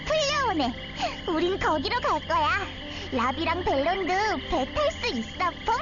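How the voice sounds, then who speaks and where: A woman voicing an innocent, energetic, childlike little girl in a Korean game story, full of exclamations. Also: very high voice, a little slow, exaggerated voice. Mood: curious. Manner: childlike, exclamatory, speaks of herself by name. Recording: dull sound under loud music.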